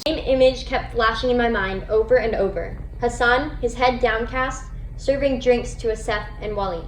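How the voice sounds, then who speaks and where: A woman's voice: a little high, normal speed, close to an everyday voice. Woman reading as troubled narrator, noisy recording. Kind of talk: scripted narration, readers' theatre reading.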